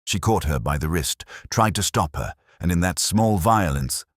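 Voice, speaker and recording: A man narrating a literary detective mystery in the first person, in a measured and solemn way. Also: low voice, animated, no room sound, studio quality.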